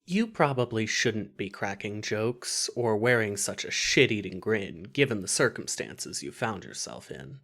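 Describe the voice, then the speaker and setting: Lively low-key wry female narrator. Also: measured pace, hardly any pauses. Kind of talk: scripted second-person fan fiction narration.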